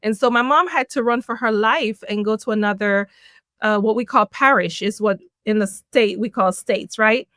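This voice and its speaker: A middle-aged woman from Jamaica, a podcast guest telling her life story in an animated way, laughing often. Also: medium pitch, moderate pace, no room sound, clean studio sound. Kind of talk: personal storytelling in an interview.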